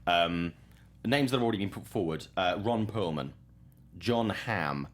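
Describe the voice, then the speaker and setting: A man's voice: deep voice, lively intonation, unhurried. A man hosting a casual film talk show, opinionated and enthusiastic, with some swearing. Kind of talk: casual entertainment discussion.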